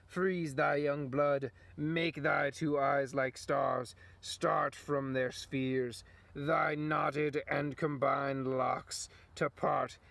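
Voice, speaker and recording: A man voicing the solemn, suffering ghost of a murdered king in a Shakespearean puppet show, declaiming in drawn-out phrases. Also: on the high side, creaky, a bit bright, dry recording, full character voice.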